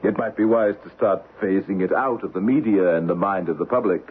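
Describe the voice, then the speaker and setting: An American actor playing a proud, combative middle-aged financier in an old-time radio drama, with clipped, argumentative delivery. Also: male voice, low-pitched, fairly quick, husky. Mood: bitter, cynical. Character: proud, embattled male Wall Street financier, combative and cynical. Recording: muffled, noisy old radio broadcast.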